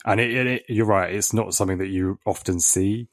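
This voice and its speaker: A man's voice: lowish voice, fairly even intonation, a little fast, no echo, clean studio sound. A man co-hosting a podcast, telling a story in a laid-back way with ums. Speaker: podcast co-host. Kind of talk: casual chat.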